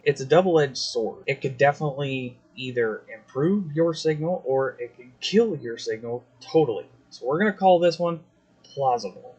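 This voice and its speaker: A man's voice: mid-range voice, husky, lo-fi sound. A man with an American accent hosting a video, explaining things casually to his viewers. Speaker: video host, CB radio hobbyist.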